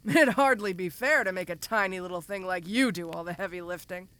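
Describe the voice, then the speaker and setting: A woman's voice: fairly low pitch, normal speed, a bit bright, clean tone, close to an everyday voice. A woman voicing a domineering giant ogre mercenary in an audio roleplay, speaking to the listener in a casual, commanding, teasing way. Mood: amused, commanding. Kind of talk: first-person audio roleplay addressed to the listener.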